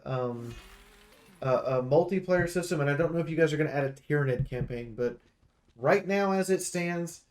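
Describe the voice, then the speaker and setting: A man with a fairly high voice talks through a game preview. His delivery is casual, like a streamer reviewing for viewers, and he is blunt and emphatic with his opinions.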